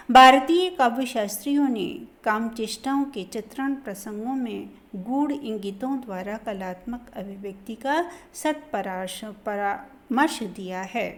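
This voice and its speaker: A middle-aged woman lecturer reads her lesson text aloud at a slow, careful pace. Her voice sits a little high, and each sentence settles quietly at its close. The delivery is formal and deliberate, like a prepared classroom reading. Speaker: college Hindi lecturer.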